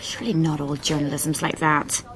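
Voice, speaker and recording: A young Irish actress playing a nice, wry junior journalist in a naturalistic radio drama. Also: female voice, sits low, flat delivery, normal speed, crowd noise, natural voice. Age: young adult. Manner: wry, playful teasing.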